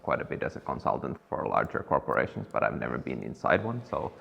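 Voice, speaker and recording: A young man from Finland, chatting casually in a barber shop and pausing to find his words. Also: rumbling low, sing-song, creaky, fairly bright tone, slightly rough, lo-fi sound. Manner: thoughtful, searching for words. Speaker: guest, business partner. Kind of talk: casual conversation. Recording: reverberant room, faint ambience.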